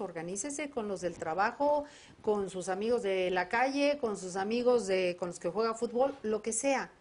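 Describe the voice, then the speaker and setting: A woman's voice: mid-range voice, darkish tone. Mexican woman host in her fifties, conversational, speaks to camera, mid-range voice. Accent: Mexican Spanish. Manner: conversational, addresses viewers directly. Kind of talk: televised discussion.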